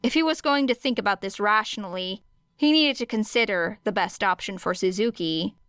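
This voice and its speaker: A woman narrating scripted fiction as an audiobook, measured, with deliberate pauses. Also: mid-range voice, a bit bright, smooth, dry recording, studio quality.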